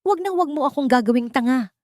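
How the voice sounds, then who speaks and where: A woman's voice: sits high, lively intonation, quick pace, no echo, clean studio sound, voice pushed up. A woman voicing a cruel, domineering rich villainess in an audio story, commanding, menacing and accusing. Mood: furious.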